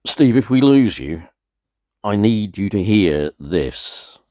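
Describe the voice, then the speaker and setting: A man's voice: on the low side, sing-song, slow pace, dark tone, dry recording, studio quality, pitched above the performer's own voice. A man playing a frightened but comforting broadcast host in a radio play, speaking with earnest urgency. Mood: earnest, consoling. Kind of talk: dramatic live emergency broadcast in a radio play.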